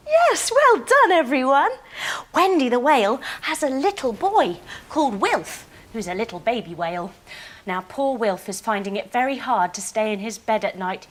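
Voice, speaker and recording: A woman leading a children's yoga story in a playful, expressive, encouraging way, acting out the movements and sounds as she goes. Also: on the high side. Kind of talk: animated storytelling lesson for young children.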